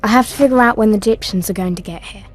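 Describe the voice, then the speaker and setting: A woman's voice: medium pitch, rapid-fire, soft background music, close to an everyday voice. An actress playing a brave young girl who talks in a decisive, conspiratorial way in a video game cutscene. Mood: determined.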